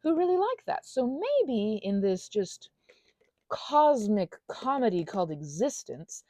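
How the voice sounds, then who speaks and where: A woman's voice: fairly high pitch, warm tone, dry recording, exaggerated voice. Woman voicing an anxious little goblin girl, swooping and rambling. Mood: musing, flustered. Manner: rambling, halting, self-interrupting, self-soothing asides. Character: anxious, self-deprecating little female goblin, rambling artist. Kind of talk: intimate ASMR audio roleplay monologue.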